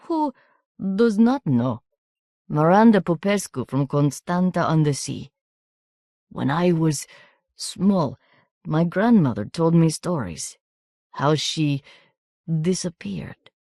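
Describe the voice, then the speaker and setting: A woman's voice: low-pitched, lively intonation, dark tone, no room sound, clean studio sound, exaggerated voice. A woman doing a sly thin boy. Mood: knowing. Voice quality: sibilant, harsh accent. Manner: halting, oddly formal phrasing. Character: thin foreign teenage boy, sly and knowing. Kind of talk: audiobook character voice.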